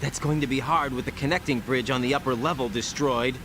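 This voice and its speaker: A young male operative acted by a man speaks with earnest, steady encouragement over clearly noisy ambience. His voice is mid-pitched and protective, in the dramatic style of a video game. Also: brisk, natural voice. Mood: businesslike. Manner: reassuring, encouraging.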